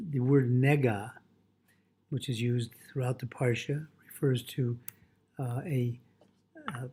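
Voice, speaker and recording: A man speaking as a rabbi in a religious lecture, explaining a text phrase by phrase in a halting way with Hebrew quotations mixed in. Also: medium pitch, warm tone, breathy edge. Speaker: rabbi teaching Torah.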